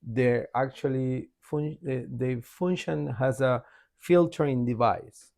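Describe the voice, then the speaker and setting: A man's voice: medium pitch, slow, vocal fry, darkish tone. A man from Argentina with an Argentine Spanish accent, talking as a podcast guest in a casual, emphatic, repetitive storytelling way. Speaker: podcast guest, author and shaman.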